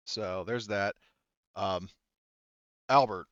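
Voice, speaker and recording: A man's voice: fairly low pitch, drawn-out words, fairly bright tone, dry recording, highs cut off. A man running a tabletop roleplaying game, narrating informally with some swearing and thinking aloud as he goes. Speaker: game master.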